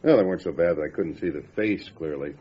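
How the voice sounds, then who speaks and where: Man playing a deep-voiced folksy lawman, lo-fi. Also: fast talker, slightly rough. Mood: wry, teasing. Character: folksy, teasing male lawman, deep-voiced desk colleague. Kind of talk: old-time radio drama acting. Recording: dull lo-fi radio drama recording, faint noise.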